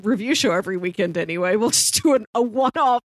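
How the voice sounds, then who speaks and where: A woman co-hosting a podcast, playfully pitching and chatting about films, chuckling as she talks. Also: expressive, quick pace, no room sound. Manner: chuckles mid-sentence, playful. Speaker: podcast co-host. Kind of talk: casual film podcast chat, pitching films and reading blurbs.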